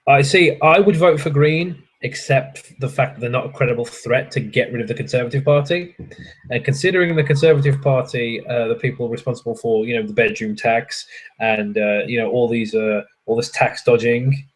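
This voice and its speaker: Husky sweary streamer ranting casually. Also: male voice, medium pitch, normal speed, poor audio. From England. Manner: sweary, ranting, laughs often. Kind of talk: casual chat with viewers.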